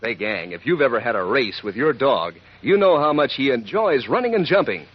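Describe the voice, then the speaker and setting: An American man doing a peppy, folksy, emphatic 1940s radio advertising pitch aimed at children. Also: big pitch swings, a little fast, music in the background. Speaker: commercial announcer. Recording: dull lo-fi 1940s radio recording.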